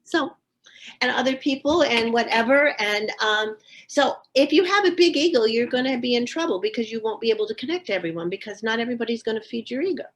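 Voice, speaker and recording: A woman's voice: on the high side, fast talker. An older woman, an astrologer being interviewed, who talks in an emphatic, self-assured and talkative way. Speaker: astrologer guest. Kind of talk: casual interview conversation.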